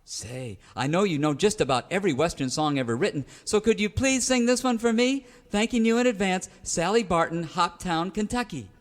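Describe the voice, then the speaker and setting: In a ringing hall, a simple cowboy sidekick speaks in a fairly high voice. He is eager and folksy, halting over what he reads for a western radio comedy. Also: expressive. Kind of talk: comic western radio show acting.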